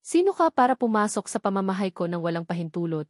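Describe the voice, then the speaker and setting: A woman's voice: medium pitch, big pitch swings, fast talker, no room sound, clean studio sound. Woman reading as a cold, commanding boss. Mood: stern. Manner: cold, authoritative. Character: stern wealthy man, commanding boss.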